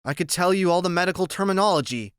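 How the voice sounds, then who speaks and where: A man voicing a gentle, weary woman doctor who speaks in a soft, earnest, consoling way. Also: sits high, animated, fast talker, clear, resonant tone, dry recording, clean studio sound, pitched above the performer's own voice.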